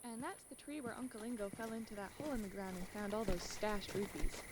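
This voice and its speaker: A woman playing a friendly, teasing young ranch girl in a fantasy audio drama, chatty and expressive. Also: medium pitch, quick pace, noisy background, lightly acted. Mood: wistful, chatty.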